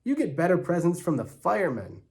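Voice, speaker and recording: A man's voice: high voice, very animated, a little fast, vocal fry, a little mellow, voice pushed up, heavily acted. A man voicing a tough, blunt young girl in a storybook, grumbling with scornful indignation. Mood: indignant.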